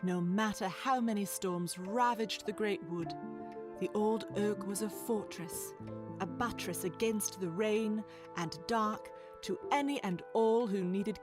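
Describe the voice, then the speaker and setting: Casting: female storybook narrator, medium pitch, measured literary read, light music bed.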